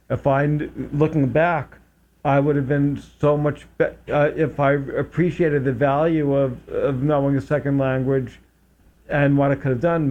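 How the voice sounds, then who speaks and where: Halting man hosting a local talk show. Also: medium pitch, darkish tone. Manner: hesitant, many fillers and restarts. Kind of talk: casual interview chat. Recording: dull lo-fi public access audio.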